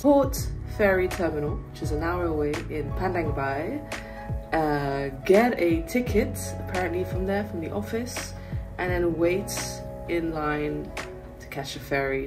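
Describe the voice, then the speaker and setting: Loud music plays under a woman's fairly low voice. She talks casually as a travel vlogger, addressing a handheld phone. The delivery is informal, like chatting to viewers on the go. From Morocco or London. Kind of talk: casual travel vlog chat to camera. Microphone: handheld phone at arm's length.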